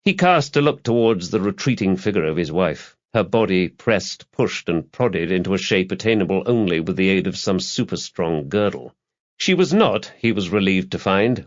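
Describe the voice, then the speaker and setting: A man's voice: low-pitched, muffled recording. A man reading a crime novel aloud as an audiobook narrator, in a scripted literary style.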